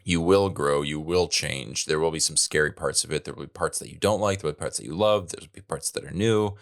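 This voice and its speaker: A man's voice: rumbling low, brisk. A man co-hosting a podcast, chatting casually and animatedly, laughing often and trailing off mid-thought. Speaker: podcast co-host. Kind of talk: casual chat.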